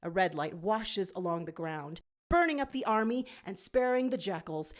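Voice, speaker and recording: A woman narrates a scripted adventure tale in a dramatic storytelling style. Her mid-range voice moves up and down in a lively, highly expressive way. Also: moderate pace.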